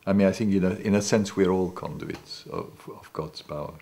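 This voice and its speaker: A man's voice: deep voice, normal speed, gravelly, warm tone. A man in his sixties, not a native English speaker, recalling the past in a seated interview in a living room, hesitant with many restarts. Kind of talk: reflective recollection. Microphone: off-camera, seated interview.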